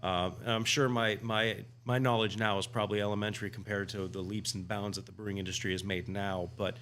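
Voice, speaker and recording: A man's voice: lowish voice, little pitch movement, fairly quick, fairly bright tone, slight background noise. A man talking casually on a podcast as a guest, rambling with many restarts and fillers. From United States. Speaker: guest homebrewer.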